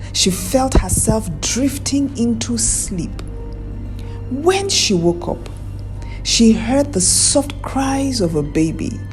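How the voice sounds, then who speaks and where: A woman narrating a scripted folktale in a measured, dramatic storytelling manner. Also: fairly high pitch, a little mellow.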